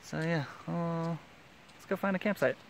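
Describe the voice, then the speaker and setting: A man's voice: on the high side, moderate pace, vocal fry, slight background noise, poor audio. A man in his thirties chatting casually while hiking outdoors on a forest trail, filmed on a handheld camera at arm's length. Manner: upbeat, chatty, out on the move. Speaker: vlogger hiking a long trail. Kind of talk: casual vlog narration.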